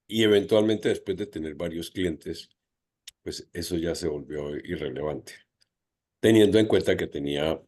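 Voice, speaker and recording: A man with a Colombian Spanish accent, a panelist and business owner, telling an anecdote in a halting way with many hesitations. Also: sits low, a little mellow.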